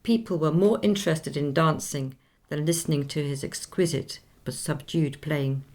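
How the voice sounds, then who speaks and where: An actress playing a dignified nineteenth-century Swedish opera singer in a radio play, speaking in a measured, formal, reflective way. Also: female voice, sits low, a little mellow.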